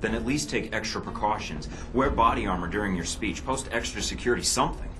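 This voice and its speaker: A man's voice: lowish voice, quick pace, background noise, close to an everyday voice. A man voicing a cool, earnest secret agent in a video game, matter-of-fact and controlled. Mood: earnest, urgent.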